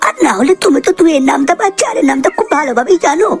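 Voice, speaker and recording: A woman voicing a clever, sharp-tongued, foul-mouthed girl sidekick in a comic cartoon. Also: high voice, animated, racing, faint music behind. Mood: confident. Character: sharp-tongued, clever, loyal young female gang sidekick.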